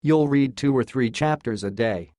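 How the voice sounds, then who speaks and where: A man's voice: mid-range voice, moderate pace, mellow, no room sound. A man announces a scripted introduction in a mid-range male voice. The sound is very dry, with no room around it.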